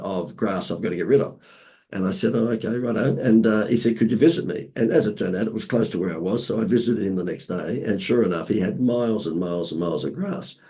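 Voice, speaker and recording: An Australian man, hoarse from laryngitis, telling lively marketing anecdotes as a podcast guest with playful asides. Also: lowish voice. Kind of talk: casual storytelling interview.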